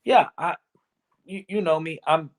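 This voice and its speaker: A man's voice: fairly high pitch, unhurried. A man from Virginia in his forties, a podcast guest telling travel stories in casual talk and acting out what people said. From Virginia, USA. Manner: storytelling, animated, acts out quotes. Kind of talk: casual conversation.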